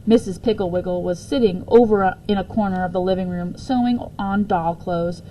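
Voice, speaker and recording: A woman reading a children's book aloud as storyteller, expressive in her narration. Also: lowish voice, brisk, rough recording. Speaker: story reader. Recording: faint background noise.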